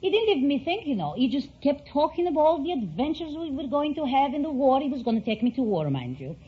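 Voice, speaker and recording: A woman's voice: high-pitched, sing-song, quick pace. An older woman from Greece with a Greek accent, telling stories as a contestant on a vintage radio quiz show. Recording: dull, faintly noisy vintage radio recording.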